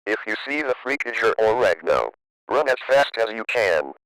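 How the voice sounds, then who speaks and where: Male announcer for emergency alert narration: formal, measured, crisp tone, dry recording. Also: lowish voice, very animated, a bit bright, no room sound, poor audio. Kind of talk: scripted emergency broadcast narration.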